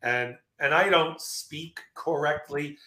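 A man's voice: on the high side, slow pace, reverberant. A man in his late fifties, a marketing speaker losing his voice, telling stories energetically in a casual interview. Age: 50s. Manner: energetic storytelling with fillers. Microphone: video call.

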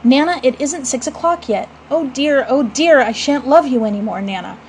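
A sulky, stubborn little storybook boy, played by a woman reader, plaintive and petulant. The pitch is fairly high, and the recording is slightly noisy. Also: moderate pace, darkish tone. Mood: petulant protest. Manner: petulant, wailing protest.

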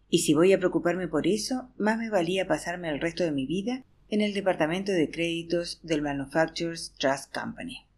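Husky woman reading an audiobook aloud. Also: fairly low pitch. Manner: acts out a weary teacher's classroom chatter. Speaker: audiobook narrator.

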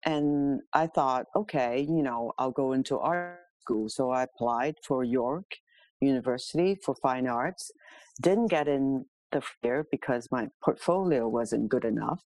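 A woman's voice: a bass voice, a little slow, a little mellow, dry recording, rough recording. A middle-aged woman from Hong Kong telling her own story casually and candidly as a podcast guest. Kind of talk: casual autobiographical interview talk.

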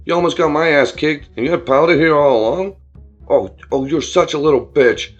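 A man voicing a crude, volatile young frat-bro bully in an audio story, loud and slangy with menacing taunts. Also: fairly high pitch, fairly quick, husky, dull audio, faint music behind. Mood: furious, disgusted.

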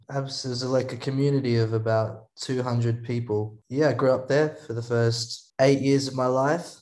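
An Australian man, a songwriter guest on a podcast, telling stories about his past in a halting, rambling way. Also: mid-range voice, a little mellow. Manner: rambling, halting, restarts often. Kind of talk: casual interview storytelling. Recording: echoey room.

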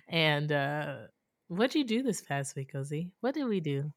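A young woman, hoarse today, co-hosting a casual chat podcast and laughing often between stories. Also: sits low, big pitch swings, slow pace, no room sound. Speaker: podcast co-host.

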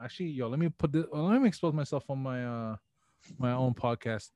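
A man's voice: mid-range voice, fairly quick, darkish tone, dry recording. A young man from the Philippines co-hosting a podcast, chatting casually in loose slang and laughing often. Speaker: podcast co-host.

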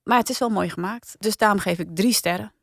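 A woman's voice: medium pitch, quick pace, creaky, no room sound, studio quality. A woman talking casually as a critic on a podcast panel.

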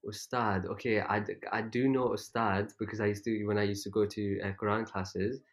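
A man's voice: on the low side, level tone, normal speed. A young man from Pakistan raised in England, speaking in a casual interview, hesitant with many fillers and frequent laughs. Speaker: guest, content creator.

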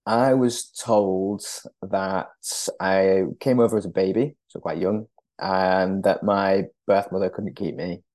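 A man in his thirties, born in Peru and raised in England, thoughtfully recalling his past as a podcast guest with many pauses and restarts. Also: low-pitched, steady tone, a little mellow, dry recording. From born in Peru, raised in northern England. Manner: thoughtful, hesitant, frequent restarts and ums. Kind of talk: conversational interview.

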